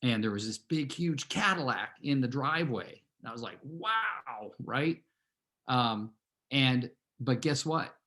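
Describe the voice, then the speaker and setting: A man in his sixties talks to his team in a loose, storytelling way. He rambles, circles back and restarts his sentences, and fills the gaps with ums and uhs. His voice sits at an ordinary middle pitch for a man. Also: animated, a little slow, sharp tone. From California, USA. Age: 60s. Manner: rambling, conversational, many fillers and restarts. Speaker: real estate team leader.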